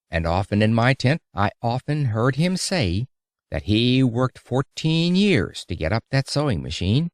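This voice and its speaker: A man reading an audiobook of an old-fashioned public lecture in a theatrical, emphatic, oratorical manner with dramatic pauses. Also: medium pitch, dry recording, clean studio sound. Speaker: audiobook reader.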